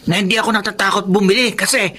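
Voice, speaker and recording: A man's voice: high voice, steady tone, very fast, a crowd in the background, natural voice. An actor playing a hungry fifteen-year-old street boy who steals, speaking in a pleading, defensive way in a radio drama.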